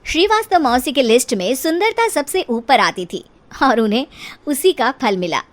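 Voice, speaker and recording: A woman narrator reading a scripted comic story for an animated video. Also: sits high, normal speed.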